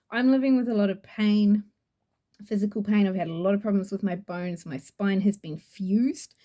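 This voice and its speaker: A woman's voice: medium pitch, warm tone. A woman with a New Zealand accent reviewing an oracle deck in a chatty, gushing way, rambling with fillers. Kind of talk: casual product review.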